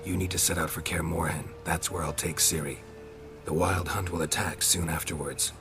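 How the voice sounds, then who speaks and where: An actor playing a stoic, dry-witted monster hunter in a video game, speaking in laconic, deadpan, clipped sentences. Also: male voice, low-pitched, normal speed, music in the background. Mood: calm, resolute. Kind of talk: video game character acting.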